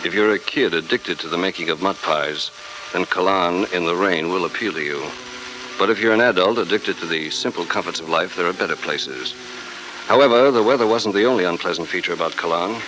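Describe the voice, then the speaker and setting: Man acting a cool hard-boiled adventurer, low voice, deadpan, 1940s radio drama, heavy noise. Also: close to an everyday voice. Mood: wry narration. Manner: deadpan, unhurried. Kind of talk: 1940s radio acting, first-person narration. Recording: very noisy old radio recording.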